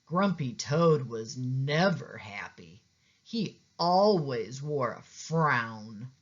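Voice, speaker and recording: A woman reading a children's storybook aloud as a teacher, with expressive narration. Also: rumbling low, drawn-out words. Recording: slightly dull, lo-fi sound.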